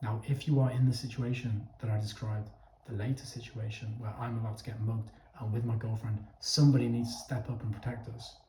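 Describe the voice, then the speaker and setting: A man's voice: medium pitch, flat delivery, fairly quick, mellow, a little hiss or hum. A young man giving a casual opinion monologue to his viewers, blunt, emphatic and sweary, often checking in with "okay?". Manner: addresses listeners directly, with repeated "okay?" checks. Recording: echoey, roomy sound.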